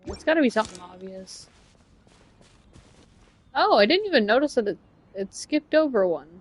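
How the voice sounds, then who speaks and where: A woman's voice: fairly high pitch, sing-song, normal speed. A woman game streamer giving casual live commentary, musing aloud to herself as she plays. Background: faint game music.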